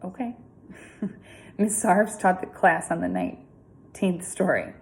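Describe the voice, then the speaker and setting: A woman reading a children's story aloud in an informal, chatty, expressive way with casual asides. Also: lowish voice, slow.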